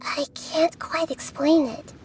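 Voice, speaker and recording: A woman voicing a gentle, wise young girl deity in a video game, speaking in a calm, measured, earnest and articulate way. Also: high voice, a little hiss or hum. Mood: thoughtful, curious.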